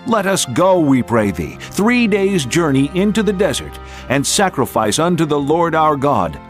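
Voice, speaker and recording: A man's voice: a little high, big pitch swings, unhurried, faint music behind. An actor playing an earnest prophet petitioning a king in a scripture audio drama, fervent and declamatory. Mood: fervent, pleading.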